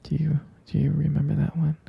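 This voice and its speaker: A man playing a jealous, devoted young boyfriend in an intimate ASMR roleplay, speaking in a hesitant, tender, breathy half-whisper. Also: fairly high pitch, completely flat delivery, fairly quick, no echo, highs cut off, exaggerated voice. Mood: tender, nostalgic.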